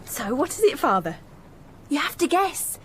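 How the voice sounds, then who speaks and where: High-voiced, playful young daughter. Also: female voice, very animated, normal speed, a bit bright, slight background noise. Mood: playful teasing. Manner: teasing, coaxing. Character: loving, playful twenty-year-old working-class daughter. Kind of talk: radio drama acting.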